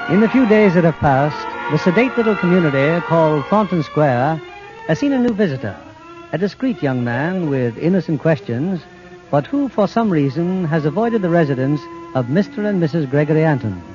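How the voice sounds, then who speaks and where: An actor narrating a suspense radio drama of the 1940s in a formal, scripted storytelling style. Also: male voice, on the high side, expressive, moderate pace, close to an everyday voice. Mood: measured, suspenseful. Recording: muffled old radio broadcast under music.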